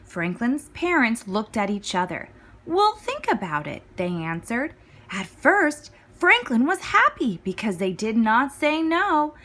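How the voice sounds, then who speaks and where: A woman reading a children's story aloud as scripted narration, with clear, expressive phrasing. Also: a little high, measured pace. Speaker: storybook reader.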